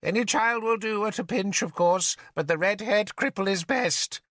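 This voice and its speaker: A man's voice: sits high, moderate pace, a bit bright, no room sound, studio quality, voice pushed up. A man reading an audiobook, voicing a broken, mad, blind old man who begs like a child and babbles on. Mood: rambling. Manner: whimpering, babbling, childlike begging. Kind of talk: audiobook character voice.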